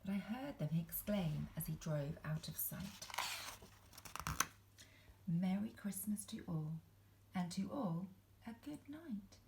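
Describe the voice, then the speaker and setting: An older woman reading aloud in an expressive storybook style, speaking directly to her listeners. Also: medium pitch, takes it slowly, gravelly, pauses often, slight background noise, poor audio. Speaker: grandmother reading a Christmas story to viewers. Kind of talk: storybook read-aloud, poetry recitation. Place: indoors at home, beside a Christmas tree.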